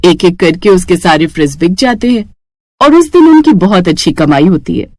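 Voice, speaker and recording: A woman's voice: lowish voice, big pitch swings, moderate pace, a little mellow, no echo, studio quality. A woman storyteller reading a scripted moral story for children.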